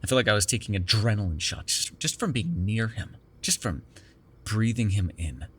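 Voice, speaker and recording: A man's voice: fairly low pitch, very animated, normal speed, slight background noise. A man playing a chatty, defensive vampire captor in an intimate ASMR roleplay, rambling casually with plenty of swearing. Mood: hungry, intense. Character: chatty, defensive, self-deprecating male vampire captor with a soft spot.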